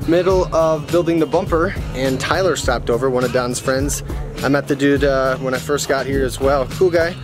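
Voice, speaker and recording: A man's voice: medium pitch, normal speed, over loud music. A young man chatting casually and slangily as a vlogger, laid-back, recorded outdoors on a handheld camera. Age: young adult. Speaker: vlogger, show host. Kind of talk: casual vlog chat. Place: outdoors in a dirt yard by garages. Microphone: handheld camera at arm's length. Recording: noisy outdoor camera audio with vehicle noise.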